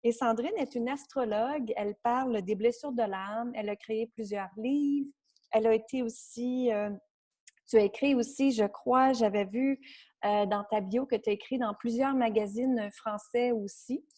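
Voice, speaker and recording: A woman's voice: on the high side, moderate pace, darkish tone. A woman with a Quebec French accent hosting a podcast and chatting with a guest in a conversational interview style. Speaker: podcast host, coach.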